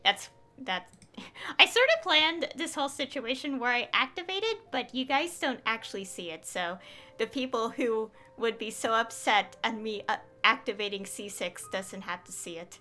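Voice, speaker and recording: A woman's voice: fairly high pitch, animated, normal speed, sharp tone. A woman chatting casually on a gameplay livestream, rambling and hesitant as she thinks aloud. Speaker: game streamer.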